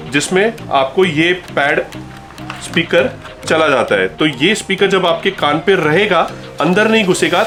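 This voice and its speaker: Higher-voiced Indian man explaining products. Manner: conversational, explanatory, with frequent check-in questions; mixes English words and phrases into speech. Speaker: video host and gear store seller.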